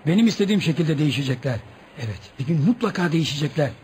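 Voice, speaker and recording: High, racing, pompous rich braggart played by a man. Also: lively intonation, rapid-fire, a little mellow, slight background noise, natural voice. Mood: smug, defiant. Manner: pompous, indignant. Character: vain, boastful, stubborn newly rich man. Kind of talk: radio theatre acting.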